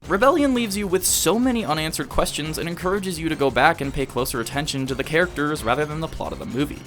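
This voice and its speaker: A man's voice: on the high side, fast, a bit bright, soft background music. A man in his early twenties narrating a scripted video essay as an opinionated, enthusiastic fan. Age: early 20s.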